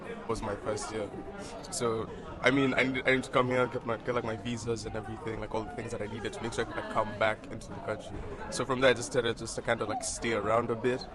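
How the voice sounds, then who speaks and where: Young man from Kenya, Swahili and English, flat delivery, restarts, crowd noise. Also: lowish voice, fast. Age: young adult. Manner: rambling, restarts and likes. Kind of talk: casual interview answer. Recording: busy crowd behind.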